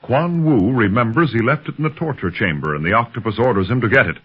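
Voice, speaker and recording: A man narrating a 1930s radio adventure serial from a script, with a husky voice. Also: medium pitch, expressive, fast talker. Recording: dull vintage 1930s radio recording. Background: music.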